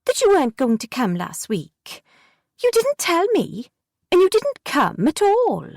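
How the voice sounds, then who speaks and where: A woman's voice: extremely high pitch, huge pitch swings, a little slow, dry recording, studio quality, voice pushed up, exaggerated voice. A sulky, defiant young girl, as a woman puts her on. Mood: peevish. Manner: peevish, sulky, argumentative.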